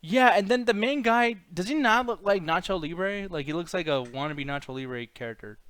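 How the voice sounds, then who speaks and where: A man's voice: high-pitched, lively intonation, normal speed, a bit bright, clean tone, no echo. A man co-hosting a casual chat, telling rambling, profane anecdotes and acting out the quoted lines. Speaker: co-host of a movie discussion show.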